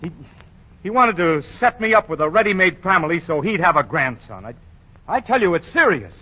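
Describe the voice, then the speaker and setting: An anxious, stammering young man, lively voice, old radio sound. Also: sits high, brisk, heavily acted. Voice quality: flustered, stammering. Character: harried young man, the old man's son. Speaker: actor. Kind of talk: 1940s radio acting. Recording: dull old radio broadcast.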